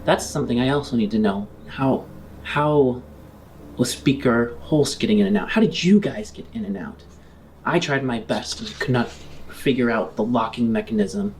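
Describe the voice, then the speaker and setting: Guy playing a stern lady in charge in a tabletop game, measured, nosy, kinda scheming, noisy room sound. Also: medium pitch, natural voice. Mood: probing.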